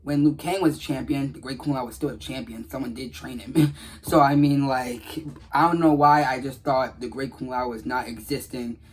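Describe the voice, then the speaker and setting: A man's voice: medium pitch, fairly even intonation, moderate pace, poor audio. A man giving casual, earnest commentary on game dialogue in a rambling, repetitive way. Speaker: gaming video creator.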